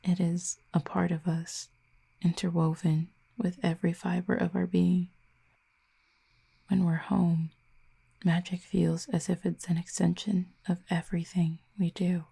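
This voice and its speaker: A soft-spoken cupid cast out of her home realm, voiced by a woman in an audio roleplay, low and breathy with a dark, mellow tone. She talks slowly and quietly, sometimes close to a whisper, and her pitch barely moves. She stays gentle and wistful throughout. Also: level tone, full character voice. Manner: soft, hushed and slow, often near a whisper.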